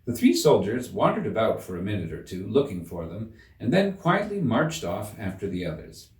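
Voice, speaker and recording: Very animated man reading a storybook. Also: lowish voice, normal speed, recorded from a distance. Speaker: audiobook reader. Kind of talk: expressive scripted storybook narration. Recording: roomy echo, slightly off the mic.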